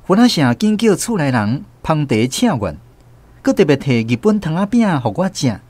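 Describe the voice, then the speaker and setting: A man's voice: a little high, sing-song, moderate pace, darkish tone, dry recording. A man playing a storyteller who recalls his naive country boyhood, narrating a radio drama with a Taiwanese accent. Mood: fond, descriptive.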